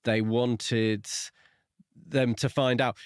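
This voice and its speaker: A man's voice: mid-range voice, little pitch movement, drawn-out words, clear, resonant tone, dry recording, studio quality. A man co-hosting a book podcast, discussing his views in a casual, conversational way. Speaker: podcast co-host reviewing books.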